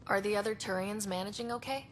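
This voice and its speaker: An actress playing an earnest, determined young space explorer in a video game, speaking directly and sympathetically. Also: female voice, medium pitch, fast, noisy background, close to an everyday voice. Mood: curious. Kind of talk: video game cutscene acting.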